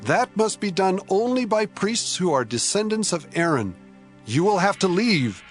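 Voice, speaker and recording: A man's voice: sits high, sing-song, normal speed. A man playing a brave, stern priest in an audio Bible drama, solemn and admonishing. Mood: stern rebuke. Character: brave, stern male priest rebuking a king. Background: music.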